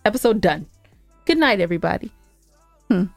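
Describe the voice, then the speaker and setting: A woman's voice: medium pitch, big pitch swings, brisk, dry recording, soft background music. A woman co-hosting a podcast in casual, playfully argumentative banter, speaking with emphasis. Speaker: podcast co-host. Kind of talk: casual bantering chat with husband.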